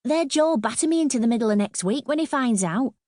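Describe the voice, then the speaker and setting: A woman's voice: a little high, animated, brisk, darkish tone, no room sound, clean studio sound, voice pushed up, lightly acted. A woman voicing an anxious, pleading young schoolboy in an audiobook. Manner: pleading, urgent. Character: pleading ten-year-old Northern schoolboy, cheeky and anxious.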